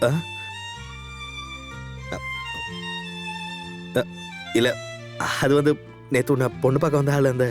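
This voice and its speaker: An actor playing a nervous, defensively proud young man in love, rambling and halting, in a conversational short-film style. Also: medium pitch, little pitch movement, a little mellow, faint music behind, lightly acted. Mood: flustered. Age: young adult. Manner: halting, rambling, self-justifying.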